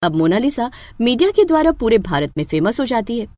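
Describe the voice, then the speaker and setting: A woman narrating a scripted moral story as an expressive storyteller. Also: fairly high pitch, brisk.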